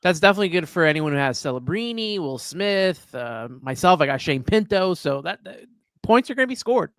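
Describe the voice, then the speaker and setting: A man co-hosting a hockey podcast in casual chat, lively and quick to laugh. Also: a little high, no room sound, clean studio sound. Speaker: podcast co-host.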